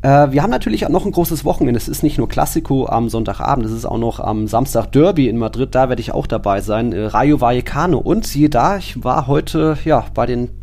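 Man with creaky voice hosting casual podcast. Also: mid-range voice, brisk, hardly any pauses, darkish tone. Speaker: football podcast host. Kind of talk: casual sports podcast talk.

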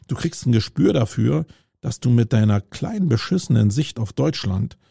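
A man's voice: medium pitch, creaky, warm tone, husky, no echo, clean studio sound. A middle-aged man from Germany hosting his own podcast, talking it through aloud outdoors in a city, with many fillers and restarts. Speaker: podcast host. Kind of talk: casual solo podcast monologue. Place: outdoors on a city street with roadwork noise.

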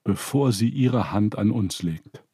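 A man's voice: lowish voice, lively intonation, measured pace, dark tone, breathy edge, dry recording, studio quality, full character voice. A man reading aloud, voicing Anu, an elder male god, shaken and apologetic, speaking in a subdued manner.

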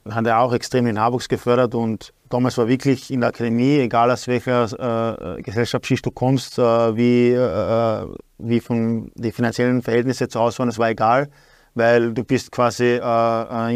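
Hesitant Austrian man, flat delivery. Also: mid-range voice, normal speed, no echo, studio quality. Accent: Austrian German. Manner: hesitant, many fillers and restarts. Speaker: guest, sporting director. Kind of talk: casual talk show chat.

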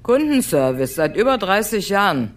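A woman's voice: mid-range voice, sing-song, normal speed, natural voice. A woman playing a dry, sardonic, middle-aged customer service veteran in an audio drama. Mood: grumpy, curt. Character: grumpy veteran female customer service worker, dry, change-averse. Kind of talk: German audio drama dialogue.

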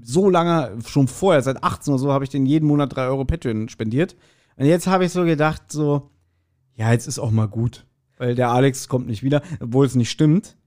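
A man chatting casually as a podcast host, telling anecdotes in an animated way and acting out quoted remarks. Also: medium pitch, fairly quick. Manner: animated storytelling, laughs readily, acts out quoted remarks.

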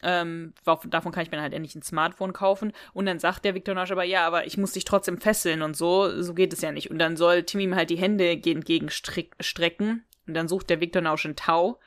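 A woman's voice: fairly low pitch, fairly even intonation, brisk, few pauses, dry recording, clean studio sound. A woman co-hosting a podcast, chattily retelling and reviewing a story in a casual, giggly way. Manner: chatty, giggly, acting out quoted lines. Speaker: podcast co-host. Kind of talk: casual chat retelling and reviewing an audio drama episode.

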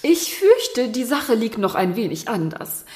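An actress playing a brisk, officious lady of the royal court in a fairy-tale radio play, formal and bossy. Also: on the high side, very animated.

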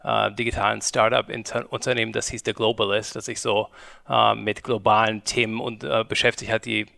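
A man in his forties from Germany speaks as an interview guest, his voice gravelly with fry. He talks haltingly, filling gaps with hesitations and restarting sentences. The sound is dry and close, with no room echo. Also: lowish voice, little pitch movement, bright, forceful tone. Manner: hesitant, many fillers and restarts. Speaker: guest, media company co-founder. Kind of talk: conversational interview.

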